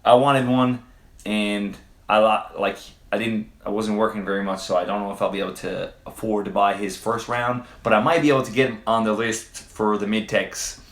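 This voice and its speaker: A man's voice: fairly low pitch, steady tone, normal speed, fairly bright tone. A man from Quebec whose first language is French, hosting a livestream and answering chat questions in a loose, hesitant way with fillers and swearing. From Quebec, Canada. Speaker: livestream host. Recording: roomy echo.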